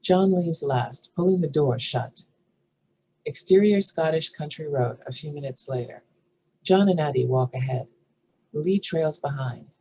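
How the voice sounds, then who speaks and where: Mid-pitched narrator reading stage directions. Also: measured pace, slightly rough, poor audio. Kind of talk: scripted screenplay narration. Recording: faint background noise and room ambience.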